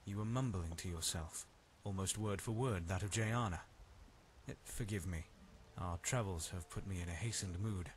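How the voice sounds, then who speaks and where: Man playing a wary, low-voiced fantasy traveler. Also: normal speed, slight background noise, close to an everyday voice. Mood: skeptical, worried. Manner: formal, wary, skeptical. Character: stern, skeptical male traveling companion, fantasy world. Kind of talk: fantasy game voice acting.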